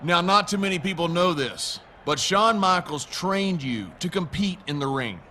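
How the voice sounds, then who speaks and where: A scornful wrestling heel hosting his own show in a video game. His voice sits high and he hits his words with pompous, deliberate emphasis. Clear noise runs behind him. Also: animated, pushed and bright. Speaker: wrestling talk show host. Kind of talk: scripted wrestling promo.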